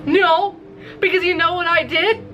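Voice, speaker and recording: A woman chatting casually in a video diary, with an animated, expressive, self-mocking manner and casual swearing. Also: very high-pitched, unhurried, noisy background. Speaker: visual novel developer and vlogger. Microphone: recording to camera. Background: background music.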